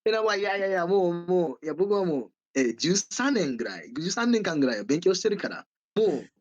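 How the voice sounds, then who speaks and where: Co-host, a man, lively casual chat with a slightly lo-fi recording. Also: sits high. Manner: animated, mixes in quick Japanese phrases. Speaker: podcast co-host.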